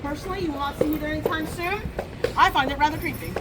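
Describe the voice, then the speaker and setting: A woman from Michigan leading a ghost tour outdoors at night, telling stories to her group with relaxed, dry humor. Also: sits high, rapid-fire. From Michigan, USA. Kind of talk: guided tour storytelling to a group. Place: outdoors on a city street at night. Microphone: audience phone recording the guide from a few steps away. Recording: raw phone footage with loud street noise.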